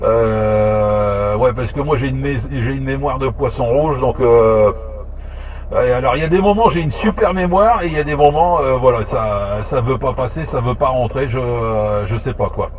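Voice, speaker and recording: A man chatting casually over amateur radio in a halting way, repeating words as he thinks. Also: mid-range voice. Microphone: two-way radio transmission. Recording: muffled two-way radio, faint noise.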